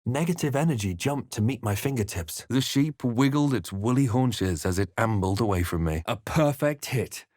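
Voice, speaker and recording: A male actor playing a brooding, resolute fantasy RPG adventurer in a video game, with a measured, dramatic storytelling delivery. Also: medium pitch, lively intonation, darkish tone, no room sound, clean studio sound, lightly acted. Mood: grave narrating. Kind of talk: scripted video game character lines and narration.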